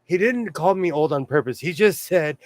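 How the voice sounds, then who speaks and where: A man's voice: fairly high pitch, lively intonation, moderate pace, dry recording. A man hosting a casual live sewing chat, rambling and self-deprecating as he tells stories. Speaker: host.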